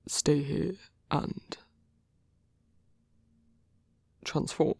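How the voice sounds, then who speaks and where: A man plays a gruff werewolf boyfriend in an intimate ASMR audio roleplay. He talks slowly and haltingly in a gravelly, husky voice, bashful under the grumpiness. The sound is close and dry. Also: a little high, little pitch movement, darkish tone, no echo, exaggerated voice. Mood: flustered, reluctant. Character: grumpy but tender, hesitant male werewolf lover in human form.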